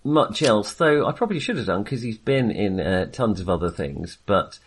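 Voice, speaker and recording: A man's voice: lowish voice, lively intonation, normal speed, husky. A man chatting casually and enthusiastically about films as a podcast co-host, rambling and hesitating as he goes. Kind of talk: casual, chatty film discussion.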